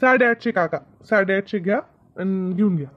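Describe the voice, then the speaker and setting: A man's voice: sits high, a little fast, natural voice. A man voicing a persistent, wheedling young bag seller in a comedy cartoon, persuasive and protesting, on a lo-fi recording. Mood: conceding. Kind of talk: Marathi comedy cartoon dub.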